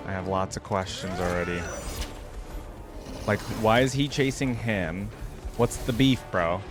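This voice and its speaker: A man's voice: lowish voice, unhurried, music in the background. A man giving casual reaction commentary as host, talking in an informal, slangy way and often exclaiming or musing aloud.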